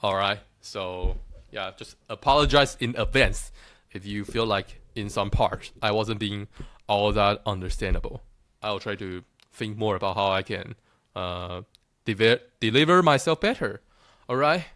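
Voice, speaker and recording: A man's voice: on the low side, unhurried, fairly bright tone, no echo. A man from Taiwan with a Taiwanese accent, talking casually and self-assuredly to his followers, joking and often restarting his sentences. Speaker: looksmaxxing content creator.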